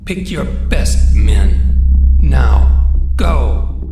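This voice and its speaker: An actor playing a menacing, commanding male crime boss with a deep, gravelly voice in a video game cutscene. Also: sing-song, full character voice. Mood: stern, commanding. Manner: slow, commanding. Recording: echoey, distant, under loud music.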